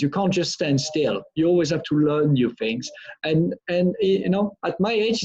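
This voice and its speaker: A man's voice: fairly high pitch, mellow, breathy edge. Middle-aged man from France explaining. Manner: explanatory, restarts and repeats phrases often. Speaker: brain engineer answering pupils' questions. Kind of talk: live online Q&A with schoolchildren. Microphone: video call. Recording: dull lo-fi video call audio.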